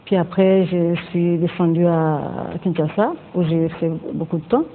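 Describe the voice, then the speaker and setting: A woman from Congo answering interview questions hesitantly, often pausing on fillers. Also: lowish voice, steady tone. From Democratic Republic of the Congo. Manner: hesitant, many fillers and restarts. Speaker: panel guest. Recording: tinny phone-like sound with faint noise.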